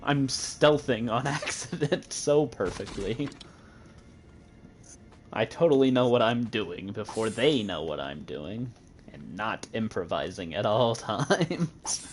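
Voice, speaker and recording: A man's voice: lowish voice, expressive, takes it slowly, a little hiss or hum. A young man with a Midwestern American accent giving playful, giggly commentary while streaming a game. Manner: playful, giggly, joking with chat. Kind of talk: casual gameplay commentary.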